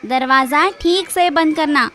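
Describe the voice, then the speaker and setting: A woman's voice: sits high, slow, pushed and bright, slight background noise, exaggerated voice. A woman voicing a caring mother goat who instructs her kids in a sing-song children's cartoon manner. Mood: instructive. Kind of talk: children's cartoon story acting.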